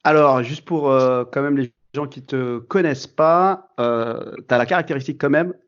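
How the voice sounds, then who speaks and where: A male podcast host at an ordinary middle pitch, asking questions in a hesitant, halting way with many fillers and restarts. The audio is dull and lo-fi. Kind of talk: casual interview.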